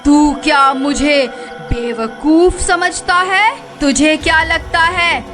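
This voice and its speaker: A woman acts out a vengeful cartoon witch with a high, loud voice. She threatens and cackles, dragging out her words with menace, over clearly audible music. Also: slow. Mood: furious, menacing. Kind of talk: Hindi horror cartoon voice acting. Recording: music behind the voice.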